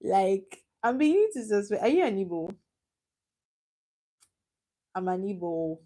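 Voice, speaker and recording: A woman's voice: mid-range voice, sing-song, measured pace, warm tone. Young Nigerian woman, live stream host; casual, chatty, laughs easily. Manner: chatty, teasing, laughs often, answers chat comments. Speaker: livestream host.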